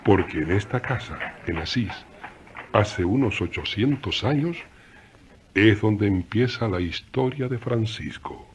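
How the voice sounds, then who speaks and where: A man's voice: fairly low pitch, animated, unhurried, slight background noise. A man narrating a radio drama as a storyteller, folksy and chatty, speaking directly to listeners.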